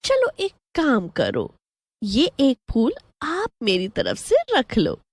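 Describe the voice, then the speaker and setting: A woman's voice: fairly high pitch, wildly swooping pitch, slow pace, no echo, exaggerated voice. A woman voicing a young flower-seller girl who coaxes sweetly and playfully in a horror story. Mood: playful sympathy.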